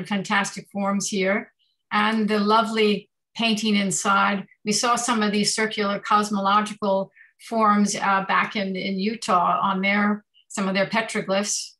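Bright-voiced woman presenting art slides. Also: medium pitch, rough recording. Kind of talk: informal slide commentary on art. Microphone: video call.

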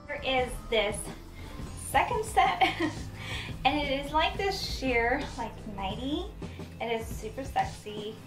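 A young woman describing an outfit softly, with little giggles. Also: high-pitched, animated, takes it slowly. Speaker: lingerie reviewer. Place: small bedroom indoors. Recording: distant, echoey, with music behind.

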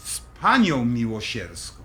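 A man's voice: medium pitch, wildly swooping pitch, unhurried, fairly bright tone. An actor playing a stern, middle-aged father in an audio drama, blunt, commanding and dismissive. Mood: defensive.

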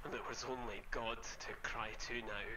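A man with a Scottish accent playing a grave, ominous horror game narrator, speaking solemnly and deliberately in literary lines. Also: medium pitch, fairly even intonation, crowd noise, natural voice.

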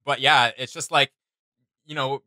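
A man's voice: medium pitch, fairly even intonation, a little slow, bright, forceful tone, dry recording, clean studio sound. A man in his thirties giving casual reaction commentary as a video host in a small room. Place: small indoor room with desk setup.

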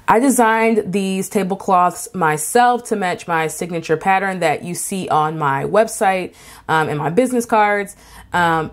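A woman who is an artist, talking casually in a vlog with many fillers and restarts, giving emphatic advice. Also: lowish voice. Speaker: artist and craft vendor.

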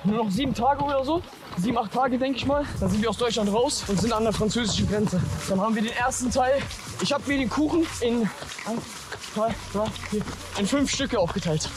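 A man with a very high-pitched voice talks into a camera he carries along an outdoor trail. He stays upbeat while on the move. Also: fairly quick. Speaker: long-distance runner vlogging his trek. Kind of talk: vlog narration while walking.

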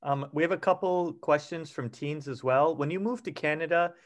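A man's voice: fairly high pitch, normal speed, dry recording. Guy in his 30s running a zoom q&a, polite, dead dry sound. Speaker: event moderator. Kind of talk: moderating a Q&A. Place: home room. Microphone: video call.

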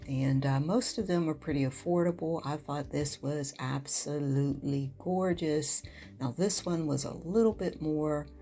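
A woman with a very deep voice and a gravelly edge narrates a shopping vlog over soft background music. She talks in a relaxed, chatty way, with plenty of ums and uhs. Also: unhurried. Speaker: home decor vlogger. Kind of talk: shop-with-me voice-over.

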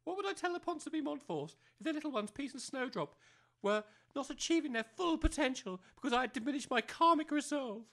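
A woman's voice: high voice, expressive, brisk, fairly bright tone. A woman playing a pompous, snobbish new-age academy head in an amateur comedy audio drama, speaking precisely in lofty jargon. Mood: haughty.

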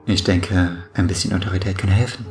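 A man playing a calm, strict, authoritarian schoolteacher in an audio horror story. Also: sits low, brisk, faint music behind. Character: stern, authoritarian male schoolteacher, quietly deranged.

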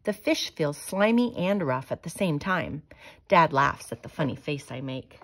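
A woman reading a children's picture book aloud in a measured, expressive way. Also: low-pitched. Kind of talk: storybook read-aloud.

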